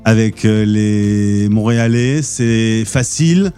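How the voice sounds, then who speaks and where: A man's voice: on the low side, takes it slowly, dark tone, soft background music. A man hosting a podcast interview, asking questions in a friendly, easygoing way. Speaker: podcast host.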